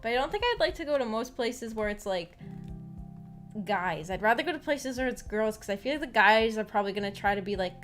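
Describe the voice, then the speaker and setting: A woman's voice: fairly high pitch, lively intonation, a little fast, fairly bright tone. A woman streamer chatting casually, giggly and hesitant, rambling and repeating her words. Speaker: VTuber streamer hosting a visual novel book club. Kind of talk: casual livestream chat. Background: background music.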